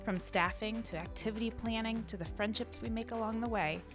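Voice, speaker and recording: A woman's voice: on the high side, fairly quick. Woman announcer reading over music. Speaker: podcast intro announcer. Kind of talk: scripted narration.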